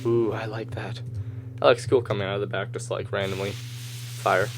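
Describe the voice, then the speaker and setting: Male gamer, low and slightly hoarse, casual thinking-aloud commentary recorded over game footage. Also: level tone, moderate pace, over music. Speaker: gamer, host of a gameplay video series.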